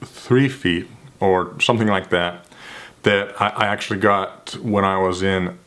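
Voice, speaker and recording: Slow, low-voiced man who stutters. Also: a bit bright. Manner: stutters with sound repetitions and restarts; measured, earnest, self-reflective. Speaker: vlogger. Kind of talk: reflective talk to camera.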